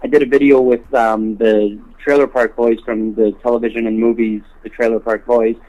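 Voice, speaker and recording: A man speaking as a guest in a telephone interview, earnest and halting, often repeating words as he makes his case. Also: mid-range voice, level tone, muffled recording. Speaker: interview guest, homelessness awareness activist. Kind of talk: telephone interview, spontaneous advocacy talk. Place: on a telephone call.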